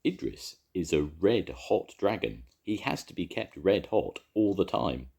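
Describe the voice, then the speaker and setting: A man doing an excitable engine driver. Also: low voice, unhurried, vocal fry, slightly rough, pitched above the performer's own voice, heavily acted. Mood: explaining.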